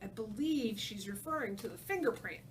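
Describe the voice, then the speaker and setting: A woman voicing a gentle, protective, weary adult male gorilla in a storybook, soothing and earnest. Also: mid-range voice, lively intonation, moderate pace, recorded from a distance, slight background noise, close to an everyday voice.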